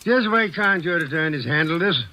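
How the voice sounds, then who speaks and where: A pompous, fussy genius detective for a 1950s radio play. His voice sits a little high and rings bright and tense. He speaks in curt, imperious commands. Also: expressive, fairly quick. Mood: measured. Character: fussy, heavy, lazy genius male detective. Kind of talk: 1950s radio drama acting.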